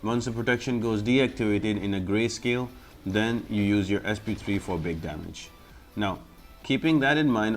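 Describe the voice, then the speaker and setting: A man giving step-by-step gameplay walkthrough commentary as the host of a guide video, explaining as he plays. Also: on the low side.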